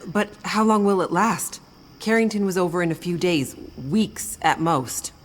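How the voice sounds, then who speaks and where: Ambient noise surrounds an actress voicing a younger woman assistant. She has a lowish voice and an anxious, questioning air in a game drama. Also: fairly even intonation, unhurried, close to an everyday voice. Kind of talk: video game cutscene acting.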